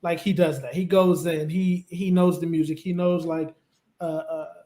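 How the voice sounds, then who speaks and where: A male host with a high voice, recorded in an echoey room that rings around his words. He speaks easygoing and affirming, quick to agree and keep the chat moving. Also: steady tone, dark tone. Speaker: co-host of a web interview show. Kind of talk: casual interview hosting.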